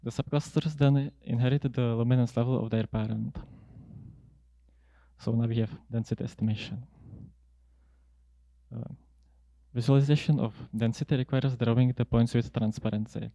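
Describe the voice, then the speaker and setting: Young male researcher, soft-edged dark tone, dry close sound, halting and measured academic presentation. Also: mid-range voice, fairly even intonation, no echo. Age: young adult. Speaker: student researcher presenting a paper. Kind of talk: prepared academic conference talk. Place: indoor seminar room at a lectern. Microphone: handheld microphone close to mouth.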